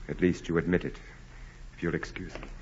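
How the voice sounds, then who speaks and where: An actor playing a wealthy, commanding aristocrat, formal, grave and insistent, in 1940s radio drama style. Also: male voice, extremely low pitch, fairly even intonation, fairly quick. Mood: cold, accusing. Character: wealthy aristocratic landowner, commanding, brooding, intense suitor. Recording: muffled, noisy old radio broadcast.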